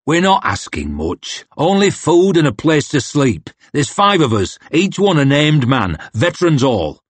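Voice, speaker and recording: Seasoned warrior, clear patient delivery , voiced by a male narrator. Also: a little high, huge pitch swings, unhurried, no room sound, pitched above the performer's own voice, heavily acted. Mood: steady. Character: patient veteran northern warrior chief.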